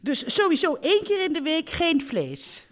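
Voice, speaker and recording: Elderly mother, lively swooping intonation, doting and breezily matter-of-fact, comic stage radio play. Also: extremely high pitch, normal speed, a little hiss or hum, heavily acted. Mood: enthusiastic, chatty. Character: chatty, blithe elderly mother running a holiday park. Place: theatre stage before a live audience.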